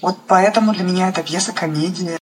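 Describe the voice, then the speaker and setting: A woman from Ukraine speaking reflectively as an interviewed playwright, halting and searching for her words. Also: fairly low pitch. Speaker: guest playwright. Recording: faint background noise.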